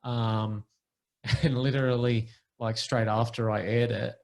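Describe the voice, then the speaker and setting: A man's voice: mid-range voice, level tone, vocal fry, darkish tone. A man hosting a podcast, chatting casually and attentively with a guest. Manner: attentive, quick to back-channel. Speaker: podcast host. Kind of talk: casual interview chat.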